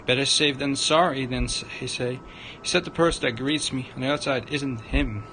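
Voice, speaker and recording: A man narrating a visual novel aloud on a livestream, dramatic but halting, stumbling over the written words. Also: bright, forceful tone. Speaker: streamer, narrator. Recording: noisy room with low rumble.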